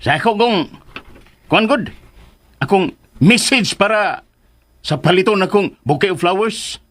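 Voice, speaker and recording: A man playing a smug, giddy suitor with boastful, excitable delivery in a radio drama. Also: high voice, measured pace. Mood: flustered, eager.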